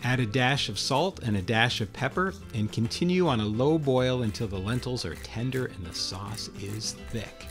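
A man's voice: medium pitch, moderate pace, hardly any pauses, soft background music. Middle-aged man hosting a home cooking lesson. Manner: friendly, explanatory, step-by-step instructions. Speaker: cooking show host. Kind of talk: casual cooking demonstration. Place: home kitchen indoors.

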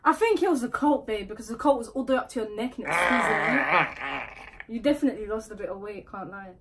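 A woman's voice: medium pitch, very animated, quick pace, heavy background noise. A young woman chatting casually at home with her partner, playfully bickering with him. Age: young adult. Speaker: co-host. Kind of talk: casual chat reacting to old clips. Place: living room at home.

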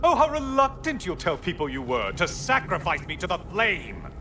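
A theatrical, defiant god from a video game, with a highish voice that swoops dramatically. He is sarcastic and mocking, and loud music plays underneath. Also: huge pitch swings, moderate pace. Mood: defiant, goading.

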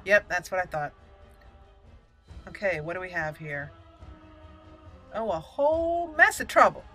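A woman's voice: on the low side, very animated, measured pace, sharp tone, soft background music. A woman doing casual, chatty let's play commentary with some roleplay, playful in manner. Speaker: gaming video host.

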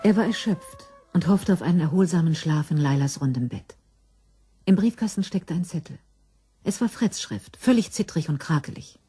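A woman's voice: on the low side, expressive, normal speed, mellow, breathy edge, soft background music. A woman giving scripted narration as the narrator of a crime radio drama.

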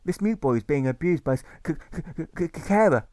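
A man's voice: on the high side, lively intonation, a little fast, darkish tone, dry recording, pitched above the performer's own voice, natural voice. A man plays a timid young boy in an audiobook. The voice is raised, with a repeated stammer on opening sounds. He pleads earnestly and politely, recorded dry. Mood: polite pleading.

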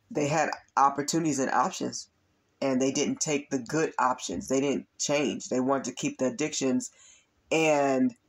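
A man with a raspy voice from allergies and overuse, earnestly giving a spiritual tarot reading to his viewers. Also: mid-range voice. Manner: earnest, repetitive, addresses viewers as you guys. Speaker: tarot reader and spiritual coach. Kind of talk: spiritual reading monologue to camera.

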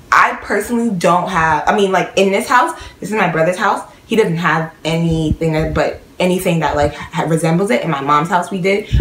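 A woman's voice: fairly low pitch, fairly bright tone, echoey room, a little hiss or hum, low-quality recording. A young woman from New York with a slight Guyanese tinge in her accent, chatting casually to camera in a rambling way. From New York, of Guyanese family. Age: young adult. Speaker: vlogger. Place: small bedroom at home.

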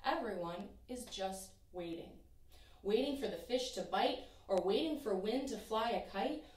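A young woman teacher reading a rhyming children's picture book aloud in an expressive, sing-song way. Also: on the high side, recorded from a distance. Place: small room in front of a painted backdrop. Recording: echoey room, voice from off-mic.

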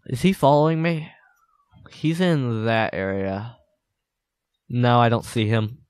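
A man's voice: medium pitch, slow pace, darkish tone, clear, resonant tone, no echo, clean studio sound. A young man with a stuffed-up nose giving playful, boastful commentary while playing a game. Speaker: gaming channel host. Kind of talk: casual gameplay commentary.

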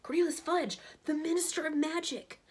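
A woman voicing a worried schoolboy in a storybook, making urgent, breathy asides. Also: fairly high pitch, a little fast, lightly acted. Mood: awed whisper.

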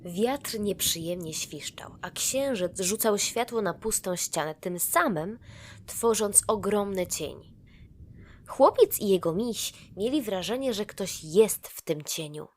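Slow-paced woman narrating a children's story. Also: mid-range voice. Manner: expressive, deliberate.

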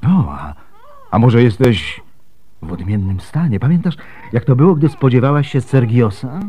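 A man's voice: medium pitch, animated, moderate pace, dark tone, slightly rough. An actor playing a worried, protective Byzantine husband and father in a radio drama, speaking earnestly. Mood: fond, concerned.

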